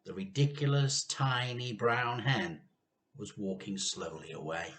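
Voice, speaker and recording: A British man, a teacher reading a children's story aloud in a dramatic, deliberate way. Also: fairly low pitch, fairly bright tone. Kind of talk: animated children's storybook narration.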